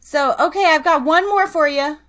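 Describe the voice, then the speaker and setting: A woman with a fairly high voice hosts a live online show. She chats casually and slangily, telling things in an animated way. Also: moderate pace.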